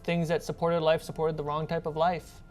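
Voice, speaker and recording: Low-voiced woman game master over music. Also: level tone, moderate pace, darkish tone. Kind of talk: narrating a tabletop role-playing game. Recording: background music.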